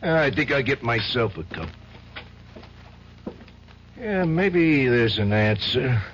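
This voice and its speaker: A man's voice: medium pitch, sing-song, slow, a bit bright, a little hiss or hum. An actor playing a tough, slangy, loyal and menacing streetwise man in an old radio drama. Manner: gruff, slangy, wisecracking. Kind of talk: 1970s radio drama acting. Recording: dull old radio broadcast.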